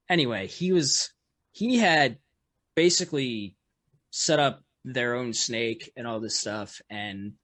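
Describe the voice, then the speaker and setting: A man, a musician guest on a podcast, with a loud voice, telling a story in casual chat full of fillers and restarts. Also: medium pitch, dry recording. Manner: rambling, lots of fillers and restarts, laughs often.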